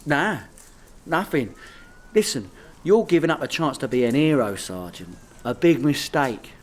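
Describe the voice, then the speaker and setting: Man acting a cocky, hapless London crook, animated. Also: medium pitch, measured pace, natural voice. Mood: indignant. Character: hapless, boastful petty crook, cocky and indignant. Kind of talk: comedy radio play acting.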